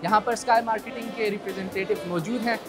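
A young man hosts from a busy indoor expo hall, his speech polite and measured. Music plays clearly behind him. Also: high-pitched, steady tone. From Pakistan. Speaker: host. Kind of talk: event presenting and interviewing.

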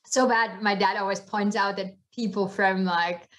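A woman's voice: mid-range voice, steady tone, measured pace, a bit bright. A woman in her thirties from Germany, with a German accent tinged by Australian and American English, telling stories frankly on a video call as an interview guest. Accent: German, mixed with Australian and American. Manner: frank, joking, open. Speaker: guest, marine biologist. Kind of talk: casual interview storytelling.

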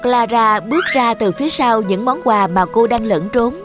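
A woman narrates a children's fairy tale from a script. Her voice sits fairly high, and music plays clearly behind her. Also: moderate pace. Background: clear background music.

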